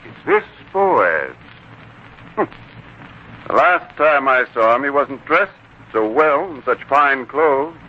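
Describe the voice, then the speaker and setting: Animated, commanding young heir on old radio. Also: male voice, mid-range voice. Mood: drily pointed. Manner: measured, pointed, formal. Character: self-assured returning young heir, politely cutting and commanding. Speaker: radio drama actor. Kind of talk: 1940s radio acting. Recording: muffled, noisy 1940s radio broadcast.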